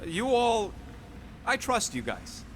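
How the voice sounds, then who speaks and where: A man voicing a small, cocky, irritable raccoon mercenary in a video game, with gruff, sarcastic, streetwise delivery. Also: high voice, huge pitch swings, takes it slowly, full character voice. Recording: battle noise behind the voice.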